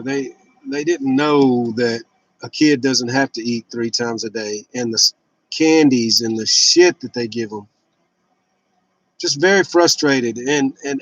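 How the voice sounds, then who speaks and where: A man's voice: a little high, no room sound, dull audio. Candid man in his fifties, dry close sound. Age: 50s. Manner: candid, rambling, blunt with some swearing. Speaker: vlogger sharing fasting and fitness journey. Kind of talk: casual personal confessional vlog.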